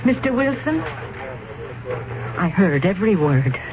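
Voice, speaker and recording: An actress playing a hesitant, uneasy office secretary in a 1970s radio drama. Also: female voice, mid-range voice, big pitch swings, a little slow. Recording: dull, hissy old radio recording.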